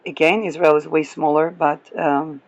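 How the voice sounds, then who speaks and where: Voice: mid-range voice, flat delivery, moderate pace, highs cut off. A person giving a casual, rambling astrology talk, chuckling often between remarks. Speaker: astrology reader.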